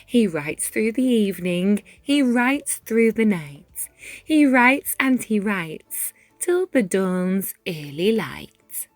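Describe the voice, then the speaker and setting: A woman reading a rhyming children's picture book aloud, with measured, dramatic phrasing and deliberate pauses. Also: mid-range voice, very animated, no room sound. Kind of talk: expressive children's read-aloud narration in rhyme. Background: music.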